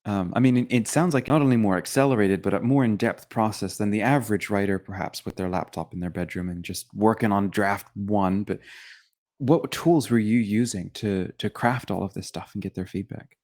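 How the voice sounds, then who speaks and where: A man's voice: lowish voice, normal speed, a little mellow. A man hosting a casual interview, musing his way through long, winding questions. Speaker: interviewer, host.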